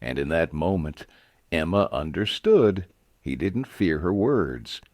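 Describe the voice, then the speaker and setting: A man's voice: sits low, big pitch swings, takes it slowly, a little mellow, no echo, clean studio sound. A man narrating a scripted Western story dramatically, in short, suspenseful phrases.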